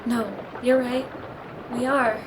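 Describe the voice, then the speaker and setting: A nervous, earnest female traveler in an audio horror drama. Her voice sits a little high and hesitates, against very loud stormy ambience. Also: flat delivery, slow, background noise. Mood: earnest, confiding. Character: timid, earnest sheltered woman facing her fears.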